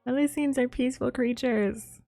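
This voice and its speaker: A woman's voice: sits high, fairly even intonation, moderate pace, vocal fry, warm tone, soft background music. A woman streaming a game live, giving casual, playful, teasing commentary in an informal way.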